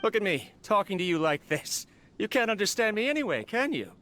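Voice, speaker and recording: An actor playing a lonely male researcher of mechanical life, talking wistfully and with quiet self-mockery to his robot. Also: sits high, very animated, fairly quick, a little hiss or hum, heavily acted. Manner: wistful, quietly self-mocking, gently scolding. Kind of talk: game voice acting, recorded log.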